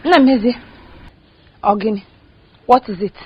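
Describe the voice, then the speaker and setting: An actress playing a bitter unmarried woman who shouts and cries her accusations at her brother. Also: on the high side, a little slow, muffled recording, a little hiss or hum, natural voice. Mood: irritable. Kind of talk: film drama acting.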